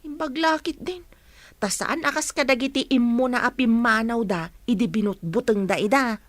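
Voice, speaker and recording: A woman's voice: on the high side, moderate pace, no room sound, natural voice. A woman playing a caring household helper in an audio drama, respectful and questioning toward her employer. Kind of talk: Ilocano audio drama acting.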